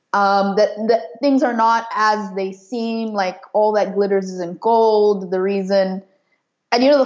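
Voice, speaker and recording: A woman's voice: medium pitch, a little mellow. Young woman guest, dull lo-fi audio. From East and southern Africa. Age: late 20s. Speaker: podcast guest. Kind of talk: personal storytelling in an interview.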